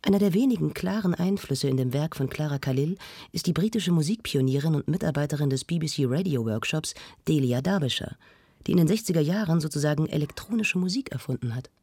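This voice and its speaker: A woman's voice: low-pitched, lively intonation, fast, dark tone, husky, dry recording, heavily acted. An actress playing a knowledgeable woman who explains things matter-of-factly in a conversational radio drama.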